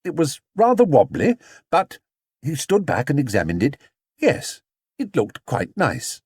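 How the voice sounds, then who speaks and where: A man narrating a children's storybook in an expressive, theatrical way. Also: a little high, measured pace, slightly rough, dry recording, studio quality. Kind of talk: animated children's audiobook narration.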